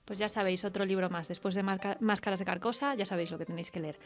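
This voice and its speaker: A woman's voice: medium pitch, fairly even intonation, racing, soft background music. A woman with an accent from Spain hosting a casual radio interview. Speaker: radio host.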